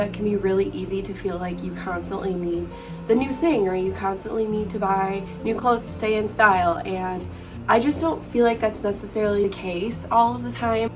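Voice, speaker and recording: A woman in her twenties chatting casually as a lifestyle vlogger, her voice still a little worn from being sick, with lots of "like" fillers. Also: medium pitch. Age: 20s. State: recovering voice after illness. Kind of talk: casual vlog chat. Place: bedroom at home. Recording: distant mic with guitar music behind.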